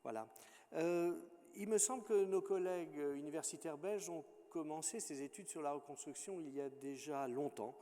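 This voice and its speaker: A man chairs a conference session, introducing a speaker in a hesitant way with plenty of fillers. His voice is slightly high and mellow. A long hall reverb surrounds it. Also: moderate pace.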